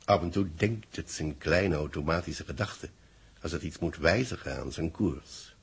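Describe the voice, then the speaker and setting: A grave, middle-aged Dutch bulletin writer in a 1960s science-fiction radio play. His voice is low and very husky, with a gravelly edge. He speaks theatrically, savouring each solemn phrase. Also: exaggerated voice. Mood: ominous. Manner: solemn, theatrical, deliberate declamation. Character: grave senior man dramatically dictating space bulletins to his assistant. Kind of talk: 1960s radio drama acting.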